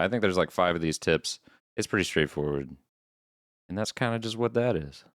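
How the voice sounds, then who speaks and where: Very deep-voiced man recapping casually. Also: clear, resonant tone, no room sound, studio quality. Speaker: podcast co-host. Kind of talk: casual recap of horror videos.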